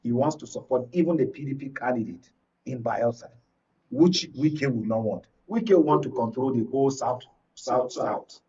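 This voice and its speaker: A Nigerian man giving live political analysis as a guest, explaining in a halting, step-by-step way. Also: mid-range voice, mellow, husky. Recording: muffled, distant microphone.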